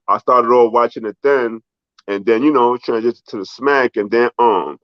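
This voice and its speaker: A man's voice: mid-range voice, normal speed, dry recording, dull audio. Rambling Bronx man with a New York accent. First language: Spanish. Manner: relaxed, rambling, heavy fillers like "you know" and "um". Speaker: documentary filmmaker guest. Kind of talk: casual interview.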